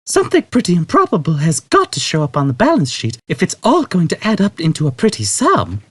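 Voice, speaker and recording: A clever young woman acted by a man in a sci-fi audiobook, pitched well up. She is patient yet exasperated, speaking in controlled, pointed phrases with a lifting tone. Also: wildly swooping pitch, a little fast, fairly bright tone, husky, no room sound, full character voice. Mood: matter-of-fact. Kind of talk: audiobook character voice.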